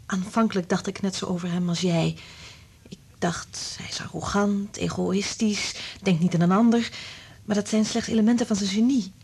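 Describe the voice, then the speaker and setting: An actress playing an anguished, bitter married woman in a radio drama, intense and confiding, with a breathy, husky voice that drops to whispers. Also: medium pitch, steady tone, moderate pace, a little mellow.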